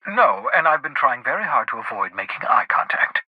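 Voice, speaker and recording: An actor playing a cantankerous, socially awkward scientist in a video game, raspy, with a fussy, wordy, theatrical delivery. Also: male voice, medium pitch, very animated, fairly quick, no room sound, narrow, tinny audio. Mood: exasperated. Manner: fussy, wordy, dramatic, with muttered asides.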